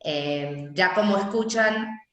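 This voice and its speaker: A young woman with a Venezuelan accent, telling her personal story in an unhurried, conversational way as a guest speaker on a video call. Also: medium pitch. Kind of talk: personal talk at an online gathering. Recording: echoey room on a video call.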